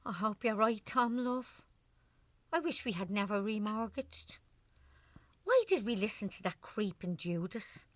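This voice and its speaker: An actress playing an anxious older Irish wife, a constant worrier, in a radio play. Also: female voice, mid-range voice, lively intonation, measured pace, no room sound, close to an everyday voice. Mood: worried, wistful.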